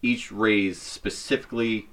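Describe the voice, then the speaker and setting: A man's voice: lowish voice, unhurried, noisy background. A man co-hosting a casual comedy podcast, steering the talk and laughing often. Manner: animated, laughs often, leads the games. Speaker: podcast co-host.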